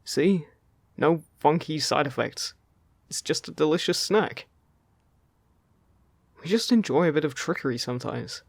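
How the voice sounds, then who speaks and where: Playful man acting a male fairy, slightly creaky voice. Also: fairly high pitch, no echo, exaggerated voice. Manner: chatty, teasing, casual and reassuring. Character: friendly, playful, teasing male fairy guiding a human. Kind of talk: scripted audio roleplay addressed to the listener.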